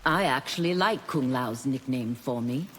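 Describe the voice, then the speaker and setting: A woman's voice: very deep voice, moderate pace, big-room sound, a little hiss or hum. A woman voicing an earnest, resolute demon warrior seeking redemption in a video game, with formal speech. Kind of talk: video game fight-intro dialogue.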